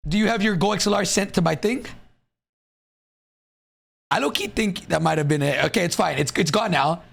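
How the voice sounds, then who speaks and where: A young man hosting a casual podcast, excitable and hyped, tripping over his words as he introduces guests. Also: high-pitched, normal speed, vocal fry. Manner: excitable, hype introductions, frequent restarts. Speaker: podcast host.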